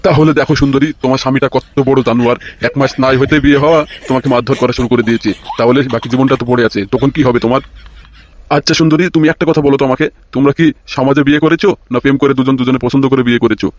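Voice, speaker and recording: A man's voice: mid-range voice, steady tone, rapid-fire, lightly acted. A man voicing a bossy, flirtatious local politician in a comic cartoon, often repeating his words in a gushing way. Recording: loud music behind the voice.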